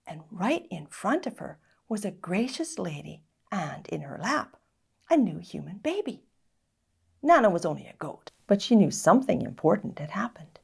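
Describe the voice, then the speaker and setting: A woman reading a children's story aloud in an expressive, dramatic narrating style. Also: medium pitch, vocal fry, breathy edge. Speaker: story reader.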